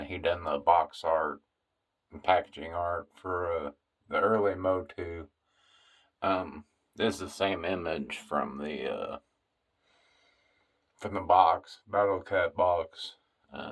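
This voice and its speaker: A man giving a casual, rambling tour of his toy collection room, filling pauses with lots of uh and um while filming on a handheld phone. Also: low-pitched. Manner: unhurried, rambling, many fillers. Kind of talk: casual walkthrough narration.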